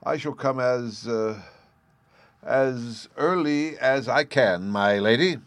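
A man voicing a kindly, absent-minded old male professor who speaks gently and fussily. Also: mid-range voice, takes it slowly, dry recording, pitched above the performer's own voice, natural voice. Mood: flustered. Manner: gentle, fussy and polite.

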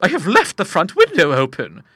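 A man voicing an excitable elderly spinster with a high, thin voice and breathless, exclamatory manner. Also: normal speed, slightly rough, dry recording, clean studio sound, exaggerated voice. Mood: fretful. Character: excitable elderly spinster woman, nervous and indignant.

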